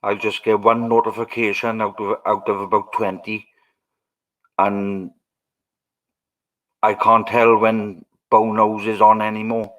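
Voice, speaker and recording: Rambling Welsh livestreamer, low voice. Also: male voice, little pitch movement, unhurried, pauses often, a bit bright, rough recording. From Wales. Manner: rambling, musing aloud to viewers. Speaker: livestream host. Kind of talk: casual livestream chat.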